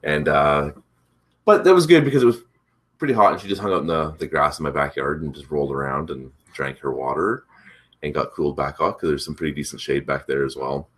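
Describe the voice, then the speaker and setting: A man hosting a casual morning chat, rambling with many fillers, his voice congested and a bit rough from allergies. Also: very deep voice, fairly quick, a bit bright. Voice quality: congested, slightly rough throat. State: stuffed up from allergies. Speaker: vlog host.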